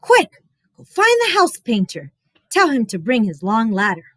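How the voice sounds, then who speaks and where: A woman's voice: on the high side, huge pitch swings, a little slow, a bit bright, no room sound, studio quality, full character voice. A woman voicing a worried, commanding storybook mother, urgently giving instructions to her boys. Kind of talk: storybook read-aloud character voice.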